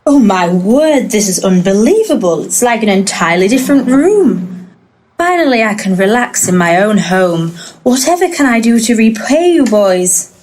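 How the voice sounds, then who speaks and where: An actress playing a polite, fluttery, easily cowed householder in a comedy audio drama. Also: female voice, medium pitch, very animated, moderate pace, darkish tone, slight background noise, close to an everyday voice, rough recording. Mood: relieved, grateful. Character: grateful, timid, easily cowed female householder.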